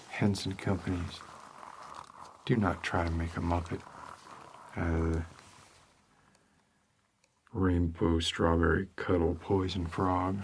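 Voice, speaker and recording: Deadpan detective guy man doing asmr roleplay, super slow and hesitant, gravelly, noisy recording. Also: low voice. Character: deadpan, world-weary male homicide detective.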